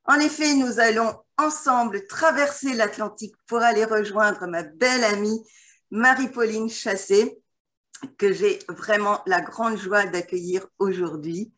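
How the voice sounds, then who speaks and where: A woman's voice: mid-range voice, unhurried, fairly bright tone. Welcoming woman interview host. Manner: welcoming, formal introductions. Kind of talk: hosted interview.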